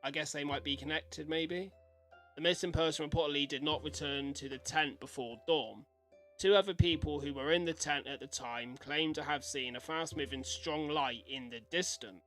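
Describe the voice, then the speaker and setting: A man's voice: on the high side, moderate pace, fairly bright tone, faint music behind. A man giving a casual live-stream reaction, reading things aloud and commenting as he goes. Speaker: streamer reacting to horror videos.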